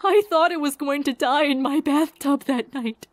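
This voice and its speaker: A woman voicing a grief-worn, sardonic scientist in a fan audio drama, halting, wry and guarded. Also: sits high, faint music behind, close to an everyday voice. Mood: pained confession.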